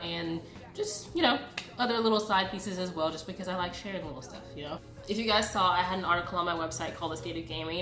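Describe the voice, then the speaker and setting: A woman in her late twenties with a congested voice from a cold, chatting casually and familiarly to her viewers as a vlogger. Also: on the low side. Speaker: YouTube vlogger. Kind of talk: casual direct-to-viewer channel update. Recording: roomy echo, background music. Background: music.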